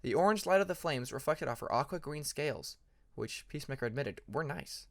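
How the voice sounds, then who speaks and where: Lightly pitched man narrating a story. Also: lively intonation, fairly quick, gravelly, no echo, clean studio sound. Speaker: audiobook narrator.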